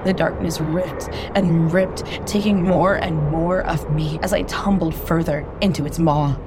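Low-voiced woman narrating horror. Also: fairly even intonation, measured pace, background noise. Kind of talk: first-person horror narration.

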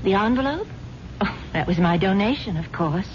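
An actress playing a gullible, earnest wealthy wife who is no longer young, speaking in a genteel, gushing way in a 1970s radio drama. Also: female voice, mid-range voice, lively intonation, moderate pace, lightly acted. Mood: airy, evasive. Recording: dull, slightly noisy 1970s radio recording.

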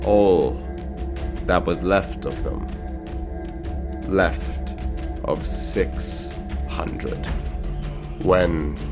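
A man giving a solemn, declamatory dramatic recitation of poetry. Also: low-pitched. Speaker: poetry reader. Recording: music clearly under the voice. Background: music.

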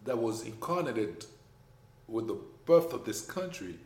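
A man with a French accent talking in a casual conversation, emphatic and animated, his voice rising when he makes a point. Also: fairly low pitch, unhurried. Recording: echoey room, faint background noise.

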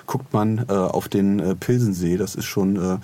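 Low, mellow, husky male podcast host. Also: moderate pace. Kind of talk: casual podcast chat.

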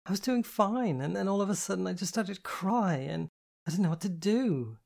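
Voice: mid-range voice, normal speed, dark tone, voice pushed up, natural voice. A narrator voicing an anxious, tearful young woman who speaks in a hesitant, apologetic way in an audiobook.

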